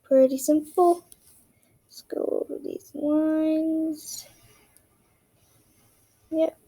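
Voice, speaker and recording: A young woman narrating a casual drawing tutorial in a monotone, rambling way, often dropping to a whisper as she works. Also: high voice, very slow. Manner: monotone, rambling, self-deprecating.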